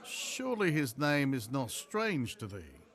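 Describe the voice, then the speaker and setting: Male actor as weathered pirate-ship sailor: rough, boastful, flirtatious, weighty archaic delivery for audio drama. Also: mid-range voice, very animated, fairly bright tone. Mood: boastful, suggestive. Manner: deliberate, weighty, archaic speech. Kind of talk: audio play acting.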